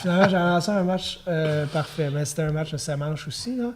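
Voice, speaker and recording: A man in his thirties with a Quebec French accent, a former pitcher chatting as a podcast guest, telling stories easily with chuckles and fillers. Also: brisk, crowd noise. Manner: relaxed storytelling with fillers and chuckles. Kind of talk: casual podcast conversation.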